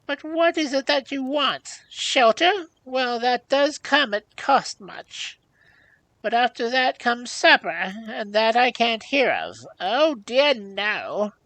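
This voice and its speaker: Miserly old hag, high bright voice, grumbly and sarcastic, fairy tale style. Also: female voice, sing-song, slow, no echo, heavily acted, low-quality recording. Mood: mocking, grudging. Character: miserly, mocking, scolding old peasant hag. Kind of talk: storybook character voice.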